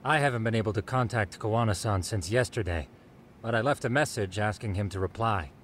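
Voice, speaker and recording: A man playing a calm, methodical informant who briefs detectives in an orderly way in a game cutscene. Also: fairly low pitch, brisk, slight background noise, lightly acted. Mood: calm, explanatory. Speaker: video game voice actor. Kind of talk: English dub game cutscene dialogue.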